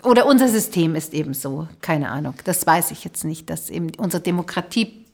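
A woman's voice: low-pitched, lively intonation, brisk, vocal fry. A middle-aged woman hosting a podcast in casual conversation, often searching for words and trailing off. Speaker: podcast host.

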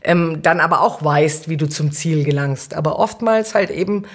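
Middle-aged woman, lower-pitched, thoughtful. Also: moderate pace. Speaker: city councillor, podcast guest. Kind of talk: conversational interview answers.

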